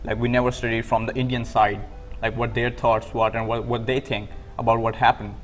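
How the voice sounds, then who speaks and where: A young man from Pakistan talks as an interview guest, hesitant and monotone, leaning on "like" fillers. Faint music plays underneath. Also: medium pitch, a little fast. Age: young adult. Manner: hesitant, repeating words, with "like" fillers. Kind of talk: casual interview talk.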